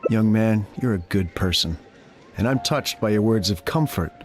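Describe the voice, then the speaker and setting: A man's voice: lowish voice, normal speed, natural voice. An actor playing a humble, self-blaming older male captain in a video game, speaking in an earnest, hesitant, polite manner. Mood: rueful, dejected. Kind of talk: video game character dialogue. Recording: music under the voice.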